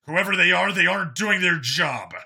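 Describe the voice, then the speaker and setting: A man's voice: high voice, expressive, moderate pace, gravelly, sharp tone, slightly rough, pitched above the performer's own voice, exaggerated voice. A man voicing a blunt, sardonic human policeman stranded among aliens in a science fiction audiobook, insistent and plain-spoken.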